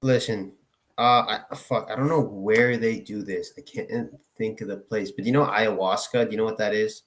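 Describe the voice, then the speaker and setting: A man's voice: fairly low pitch, fairly even intonation, normal speed. A young man from Finland, a guest in a casual chat, rambling with many fillers and swearing. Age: young adult.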